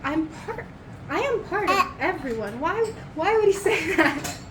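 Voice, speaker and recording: A woman's voice: very high voice, very animated, unhurried, noisy background, full character voice. A woman playing a flustered, rambling young woman in improvised in-character roleplay. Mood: flustered, gossipy.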